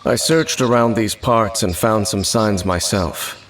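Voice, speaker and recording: Solemn, low-voiced wandering swordsman, measured and formal, over game music. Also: fairly bright tone. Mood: grave. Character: grave, mysterious wandering swordsman, adult man. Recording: game music behind, with rain sounds.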